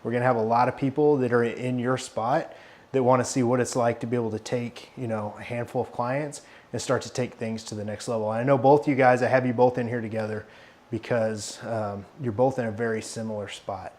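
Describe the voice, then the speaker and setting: A man's voice: mid-range voice, fairly quick. Forty-something guy, blunt mentor, heavy swearing, mid-range voice. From Arkansas. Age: 40s. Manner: profane, blunt, animated. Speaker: fitness consultant. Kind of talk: casual mentoring chat.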